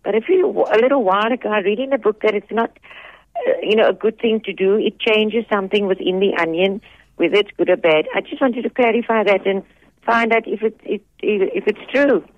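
A woman's voice: mid-range voice, a little fast, dry recording. Woman calling in, dull phone sound, chatty, stops and restarts a bit. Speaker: caller. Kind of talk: asking a question on a radio call-in.

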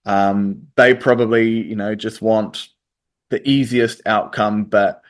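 A man, answering interview questions in a hesitant way with many pauses and restarts. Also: on the low side, steady tone. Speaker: interview guest. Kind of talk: radio interview.

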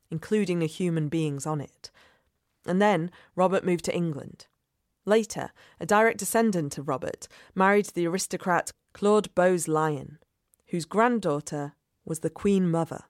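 A woman reporter and podcast host giving steady, clear scripted narration. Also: on the low side, no echo, studio quality.

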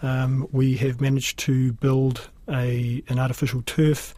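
A man with a New Zealand accent explaining things in a measured, matter-of-fact way, like a school principal in an interview. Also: medium pitch, flat delivery, dark tone, no echo.

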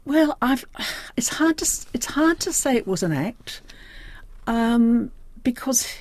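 A woman's voice: fairly high pitch, expressive, slow, a little mellow, no room sound. An older woman with a New Zealand accent speaking reflectively as an interview guest, thoughtful and often searching for her words. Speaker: interview guest, diary editor.

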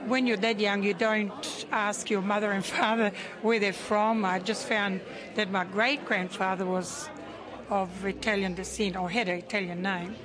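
An older woman talks casually in an interview. Her pitch is average, and plenty of crowd chatter is heard behind her. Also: fairly even intonation, measured pace. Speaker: survey participant. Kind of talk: casual chat.

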